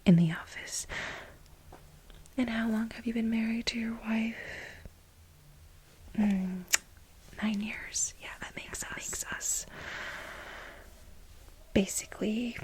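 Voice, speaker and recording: A woman playing a flustered, lovestruck office assistant in a soft-spoken ASMR role play, breathy and halting, with a dramatic, confiding manner. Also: fairly high pitch, fairly even intonation, slight background noise. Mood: insistent, defensive. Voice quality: breathy, whispery. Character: flustered, lovestruck female office assistant confessing to married boss.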